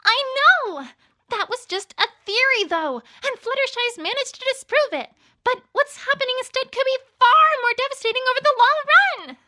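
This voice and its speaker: A woman's voice: very high voice, lively intonation, moderate pace, bright, forceful tone, heavily acted. A woman voicing an earnest, bossy, studious unicorn mare with an insistent, worried manner, in a scripted story reading. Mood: panicked. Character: earnest, bossy studious female unicorn pony, anxious leader.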